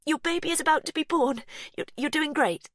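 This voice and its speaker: A woman's voice: medium pitch, expressive, moderate pace, no room sound, studio quality, voice pushed up, natural voice. A woman voicing a blunt young woman giving urgent, clipped orders. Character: tense young woman, blunt and trying to stay calm.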